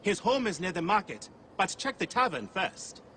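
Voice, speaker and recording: An actor playing a grandiose, excitable festival organizer in an ancient Egypt video game. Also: male voice, high-pitched, moderate pace, slight background noise, exaggerated voice. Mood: proclaiming. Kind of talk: dramatic video game acting.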